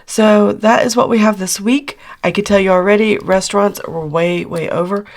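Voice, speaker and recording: A middle-aged woman, hoarse after losing her voice, chatting casually through her weekly budget check-in with lots of fillers. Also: mid-range voice, steady tone, moderate pace. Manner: chatty, rambling with many fillers. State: hoarse, recovering from lost voice. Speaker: budgeting channel host.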